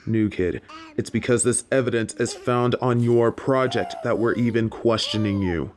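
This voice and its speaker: A woman's voice: rumbling low, steady tone, normal speed, a little mellow. Woman playing a suspicious interrogator over noisy audio. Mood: stern. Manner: accusing, skeptical. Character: stern, suspicious interrogator at magic school. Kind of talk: fantasy audio roleplay acting.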